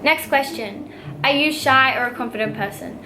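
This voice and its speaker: A woman's voice: sits high, moderate pace, pushed and bright, a little hiss or hum, low-quality recording. A nineteen-year-old Australian woman answering viewer questions in a casual vlog, giggly and bubbly, full of "like" and fillers. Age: young adult, nineteen. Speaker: vlogger. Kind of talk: casual Q&A to camera.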